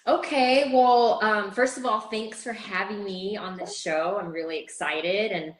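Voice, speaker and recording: A woman's voice: mid-range voice, measured pace. Friendly woman guest from Scotland, upbeat chat, roomy sound. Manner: friendly, upbeat, laughs easily. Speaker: guest, personal trainer. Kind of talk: casual interview chat.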